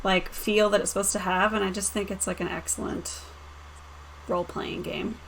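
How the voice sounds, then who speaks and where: A woman's voice: mid-range voice, level tone, normal speed, fairly bright tone, a little hiss or hum, poor audio. A woman hosting a casual roundtable review, talking informally and with enthusiasm. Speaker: host and reviewer.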